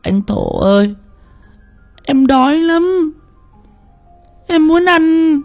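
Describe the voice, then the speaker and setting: A man voicing a starving little girl who whimpers and begs her older brother in a thin, breathy voice. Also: very high voice, sing-song, drawn-out words, soft background music, voice pushed up, full character voice. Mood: weak pleading.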